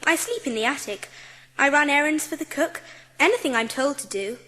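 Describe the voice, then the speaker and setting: Casting: gentle British schoolgirl of seven, light high voice, animated, polite and earnest, for dramatised storybook. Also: brisk, in a large hall. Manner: well-spoken, sweet, earnest. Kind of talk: dramatised audiobook acting.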